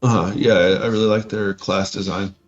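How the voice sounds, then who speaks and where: A man's voice: on the low side, fairly even intonation, brisk, highs cut off, soft background music. A man co-hosting a podcast, chatting casually about tabletop games in a hesitant, noncommittal way. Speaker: podcast co-host.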